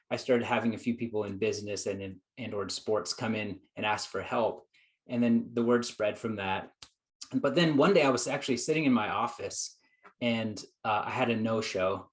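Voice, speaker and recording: A man, a psychologist guest, telling his story in an earnest, reflective way, acting out his own past thoughts. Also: lowish voice. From United States. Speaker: guest psychologist and productivity coach. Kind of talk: conversational storytelling.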